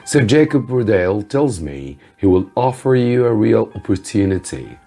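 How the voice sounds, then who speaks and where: A man's voice: lowish voice, sing-song, moderate pace, dark tone, husky, faint music behind, voice pushed up, exaggerated voice. A man voicing an eager, approving sister-in-law in a storybook reading, gushing and emphatic. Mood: earnest, persuading. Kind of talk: audiobook-style storybook reading.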